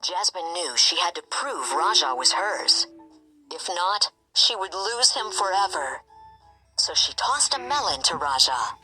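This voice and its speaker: A woman narrating a scripted children's story in an expressive, animated way. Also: lowish voice, measured pace, husky, faint music behind, low-quality recording. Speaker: storybook reader.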